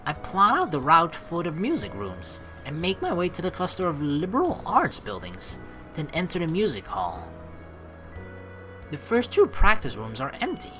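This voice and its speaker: A woman doing chatty, excitable game commentary, mixing story text read aloud with playful asides. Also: low-pitched. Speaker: let's play host.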